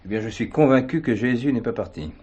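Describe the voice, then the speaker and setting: An actor playing a dogged police commissioner who reasons through hunches in a hesitant, conversational way, in a television drama. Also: male voice, mid-range voice, animated, fast talker, a little mellow, highs cut off, close to an everyday voice. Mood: reflective. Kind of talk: French television drama acting.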